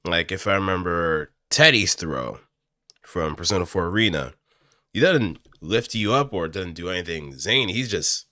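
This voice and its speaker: Low-pitched man, bright dry close-miked voice. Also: animated, normal speed, no echo. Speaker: amateur voice actor. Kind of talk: casual improvised explanatory commentary. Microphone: close studio microphone through an audio interface.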